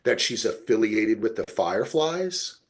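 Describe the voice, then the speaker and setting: A man's voice: on the low side, big pitch swings, a little slow. A man hosting a casual podcast, explaining things in an emphatic, unfiltered way. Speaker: podcast host. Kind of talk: casual chat.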